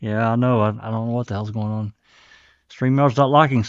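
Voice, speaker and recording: A man's voice: on the low side, steady tone, fairly quick, clean tone, no room sound, dull audio. A man hosting a livestream, giving casual commentary between replayed recordings. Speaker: livestream host.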